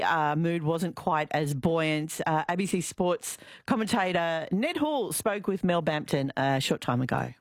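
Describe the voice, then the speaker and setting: An Australian woman presenting local radio in a relaxed, chatty way, repeating quiz clues and a phone number. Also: lowish voice, no echo, clean studio sound. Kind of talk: casual local radio chat.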